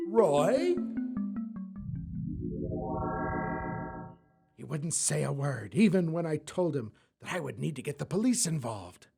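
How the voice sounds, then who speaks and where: Actor as husky, flustered male professor. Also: on the high side, big pitch swings, normal speed, warm tone, faint music behind, exaggerated voice. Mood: distressed. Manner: hesitant, stammering. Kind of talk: audio drama acting.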